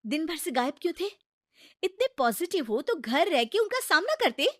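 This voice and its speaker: A woman's voice: very high voice, sing-song, normal speed, no echo, clean studio sound, voice pushed up. A woman voicing an exasperated, hardworking wife in a cartoon story, speaking in an emphatic, scolding way.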